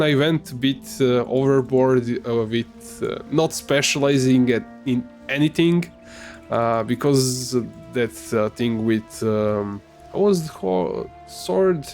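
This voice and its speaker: A man whose first language is Serbian, giving casual, halting commentary while playing a game, with many fillers and restarts. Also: mid-range voice, darkish tone. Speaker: gamer.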